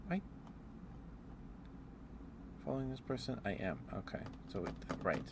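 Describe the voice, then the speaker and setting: A man livestreamer chatting casually in an ASMR stream, rambling in a hesitant, unhurried way. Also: medium pitch, lively intonation, vocal fry, very noisy.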